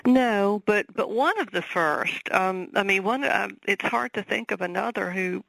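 A woman's voice: medium pitch, unhurried, vocal fry, a bit bright, no echo, rough recording. A woman with a Southern accent, an author speaking as a radio interview guest, thoughtful and full of fillers and restarts.

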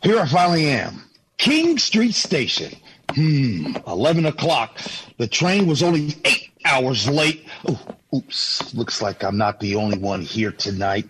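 Determined fifties dad traveling, radio play; exasperated, folksy, swooping delivery, faint noise behind. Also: male voice, medium pitch, slow pace, full character voice, poor audio. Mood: weary, wry. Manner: animated muttering, folksy exclamations. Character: stubborn, exasperated family man, a determined 1950s traveler. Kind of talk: old-time radio drama acting.

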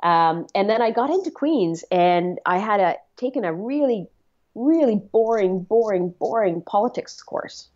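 A woman's voice: mid-range voice, expressive, measured pace, darkish tone, muffled recording. A middle-aged woman from Canada whose first language was Swahili. She talks earnestly as an interview guest, with many fillers and repeated words. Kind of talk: reflective conversational interview.

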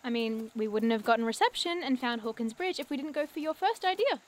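A woman's voice: on the high side, animated, fast talker, lightly acted. An actress playing an earnest, curious young woman in an audio drama, polite and a little hesitant in her speech. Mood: fascinated. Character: eager, bookish, curious young woman, earnest nature lover.

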